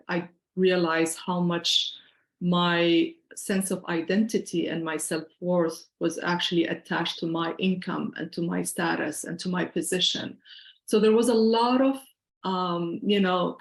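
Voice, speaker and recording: Middle-aged woman, Syrian accent, earnest workshop presenter on a video call; lowish voice with some fry. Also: reverberant. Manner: earnest, explanatory, conversational. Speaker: financial wellness workshop presenter.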